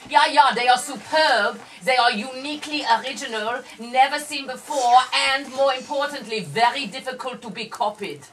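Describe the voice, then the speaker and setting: A woman's voice: high-pitched, normal speed, few pauses, a bit bright, slight background noise. A woman voicing a proud but placating male fashion king in a comic puppet show. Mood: proudly presenting. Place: small indoor shop puppet show. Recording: distant camera in room with audience.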